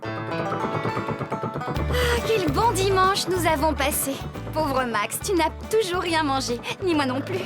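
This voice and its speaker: High-voiced kindly cartoon farmer. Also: female voice, lively intonation, unhurried, long pauses, over loud music. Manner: caring, gently in charge. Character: kindly, capable woman farmer in charge.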